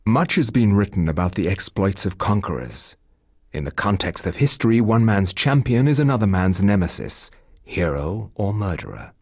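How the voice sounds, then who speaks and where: An actor playing a grave, learned narrator of dark history in a video game, husky, measured and ominous. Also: male voice, on the low side, animated, dry recording, studio quality. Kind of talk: scripted dramatic game narration.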